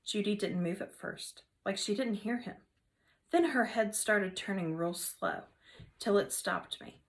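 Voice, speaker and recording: A woman teacher reading a children's novel aloud in an expressive storytelling manner. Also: medium pitch, a little slow, a little hiss or hum. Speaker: third grade teacher.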